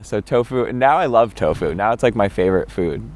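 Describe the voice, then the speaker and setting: Deep-voiced young man telling stories. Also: brisk. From New York. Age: young adult. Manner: loose, animated, acts out quotes in voices. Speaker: interviewee, young artist. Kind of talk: casual personal storytelling to camera.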